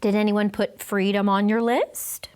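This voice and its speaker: A woman voicing a patient, bemused male first-grade teacher in a storybook, with a halting, gently questioning manner. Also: mid-range voice, level tone, clear, resonant tone, lightly acted. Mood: encouraging.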